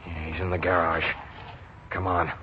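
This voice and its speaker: An actor playing a menacing, bossy robber with a gruff, slangy manner in an old-time radio crime drama. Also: male voice, low-pitched, flat delivery, moderate pace, natural voice. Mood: curt, commanding. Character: bossy, menacing male robber, curt tough-guy gangster. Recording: noisy, dull vintage radio recording.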